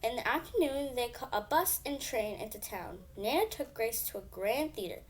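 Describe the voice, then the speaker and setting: A woman's voice: on the high side, animated, normal speed, a little hiss or hum. A female reader telling a children's bedtime story expressively, with emphatic phrasing.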